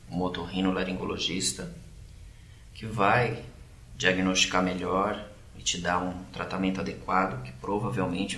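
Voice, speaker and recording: Actor as reassuring doctor, very deep and even; roomy echo. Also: male voice. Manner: calm, explanatory. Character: calm, reassuring male doctor. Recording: roomy echo with faint noise.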